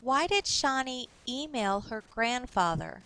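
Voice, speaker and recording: Female teacher, slightly high pitch, read-aloud storytelling for a young class, instructive delivery. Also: lively intonation, measured pace, pushed and bright.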